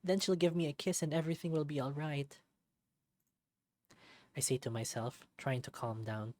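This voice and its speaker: A man's voice: on the high side, moderate pace, a little mellow, no room sound. Man narrating a story, very dry sound. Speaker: narrator of a visual novel let's read. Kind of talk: expressive scripted story narration.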